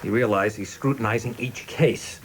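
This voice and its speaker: A man's voice: fairly low pitch, normal speed, a little hiss or hum, natural voice, poor audio. An actor playing an impatient, politically anxious senior male government minister in a radio play, brusque and questioning. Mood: irritated, worried.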